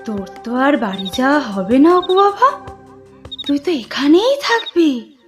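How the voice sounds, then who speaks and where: Sinister ghoul aunt for horror audio drama, played by a woman: high, slow, coaxing and commanding, faint music behind. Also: sing-song. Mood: menacing coaxing. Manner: menacing, coaxing, drawn-out repeated commands. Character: sinister aunt turned ghoul, coaxing boy to eat flesh.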